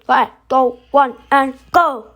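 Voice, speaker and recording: A woman's voice: high voice, slow, a bit bright. A woman hosting casually, musing aloud as she announces each step of a voice effects demonstration. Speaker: video host.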